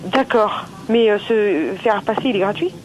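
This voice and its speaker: A woman's voice: mid-range voice, expressive, normal speed. Female phone caller, polite, hesitant, natural unscripted talk, light noise on the line. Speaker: customer calling a shop, teacher. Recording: noisy telephone line.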